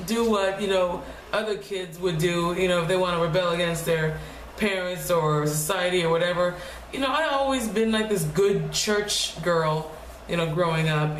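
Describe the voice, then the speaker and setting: A young woman speaking quietly in a low, deep voice, talking haltingly and restarting her words often in a casual personal vlog at home. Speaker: vlogger. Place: home room. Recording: echoey room with faint noise.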